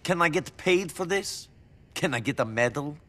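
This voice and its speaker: An actor playing a weary, sardonic immigrant war veteran turned criminal, with an Eastern European accent and a terse, deadpan manner, in a video game. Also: male voice, a little high, normal speed. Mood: sardonic, resentful. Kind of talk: video game cutscene acting.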